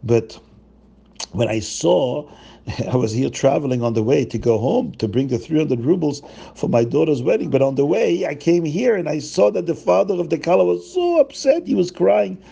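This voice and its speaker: Meek stammering old holy man, a man putting him on, gravelly, slightly raised. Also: fairly high pitch, animated, moderate pace, warm tone, exaggerated voice. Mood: earnest.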